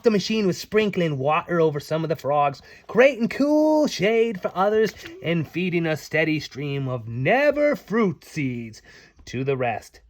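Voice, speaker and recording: A man's voice: high voice, no room sound. A man reading aloud to children as their dad, with playful, folksy, chatty asides.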